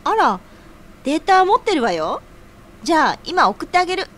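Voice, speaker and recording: An actress playing a sisterly, teasing, encouraging friend with feminine phrasing in an audio drama. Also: female voice, extremely high pitch, very animated, normal speed, a bit bright, full character voice. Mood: playful.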